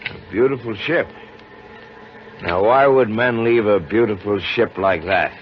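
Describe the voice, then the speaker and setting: An actor playing a blunt chief lighthouse keeper with a curt, commanding manner, in a 1950s radio drama. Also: male voice, lowish voice, unhurried, natural voice. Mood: matter-of-fact. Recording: dull, hissy old radio broadcast.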